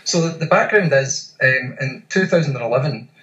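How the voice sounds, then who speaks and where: A Scottish man in his forties, a guest in a casual interview, talking with many pauses and fillers. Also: medium pitch, lively intonation, a bit bright, echoey room, muffled recording. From Scotland. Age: 40s. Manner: hesitant, many fillers and restarts. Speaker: guest, ultra runner.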